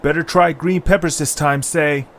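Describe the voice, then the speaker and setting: A man's voice: fairly high pitch, fairly even intonation, normal speed, a bit bright, a little hiss or hum, close to an everyday voice. A man playing a good-natured, weary young adventurer with a casual, exasperated manner in a fan audio drama. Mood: casual, thoughtful. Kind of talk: fan audio drama acting with storybook narration.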